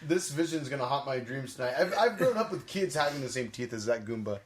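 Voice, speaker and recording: A man's voice: medium pitch, animated, fast talker, over a noisy crowd. A man co-hosting a casual podcast, chatting informally and swearing freely. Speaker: podcast co-host. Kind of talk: casual chat about geek movies.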